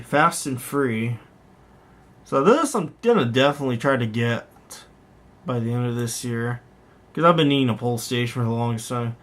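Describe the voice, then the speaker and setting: A man giving casual, unscripted show-and-tell commentary in a rambling, conversational way, often correcting himself as he goes. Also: mid-range voice. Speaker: hobbyist vlogger, host.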